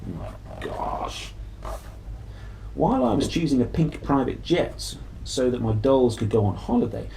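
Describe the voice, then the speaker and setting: A man's voice: medium pitch, sing-song, a little slow, vocal fry, dark tone, slight background noise. A man reading a children's story aloud expressively, with dramatic emphasis and playful delivery. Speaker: storybook reader. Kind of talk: animated children's story narration.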